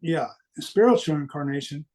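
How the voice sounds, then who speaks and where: A man with a mild Southern US accent, speaking earnestly and haltingly as he reflects on his own experiences in a conversational interview. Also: a little high, expressive, darkish tone. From American Deep South. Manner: earnest, halting, repeats phrases for emphasis. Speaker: podcast guest recounting paranormal experiences.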